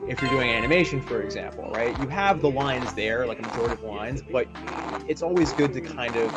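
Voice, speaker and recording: Chatty man over loud music. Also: medium pitch, fairly quick. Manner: conversational, peppers talk with tag questions. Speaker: voice actor guest. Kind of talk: casual interview chat.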